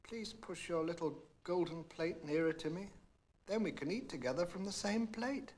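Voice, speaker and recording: Man voicing a pleading, high-pitched little storybook frog. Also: normal speed, darkish tone. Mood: politely insistent. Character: polite, pleading, insistent little male talking frog.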